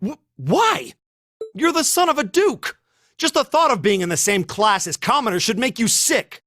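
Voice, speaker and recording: Casting: self-righteous male student for anime game, bright, tense, swooping delivery, heated and scornful. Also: extremely high pitch, very animated, normal speed, no room sound, studio quality, exaggerated voice. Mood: shocked, angry. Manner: heated, preachy. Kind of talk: English anime game dub acting.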